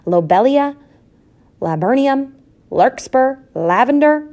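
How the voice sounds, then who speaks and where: A woman's voice: mid-range voice, expressive, moderate pace, warm tone. A woman voicing a bossy, anxious, melodramatic mother mouse in a storybook, with a commanding, theatrical delivery.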